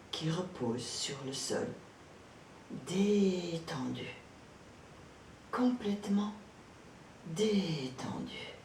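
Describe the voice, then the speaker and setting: A woman with a low voice guides a relaxation session in a calm, gentle manner. She takes her time, leaving pauses between short instructions. The sound is echoey, with some noise and rumble behind her. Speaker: yoga nidra instructor. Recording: roomy echo, distant mic, background noise, rumble.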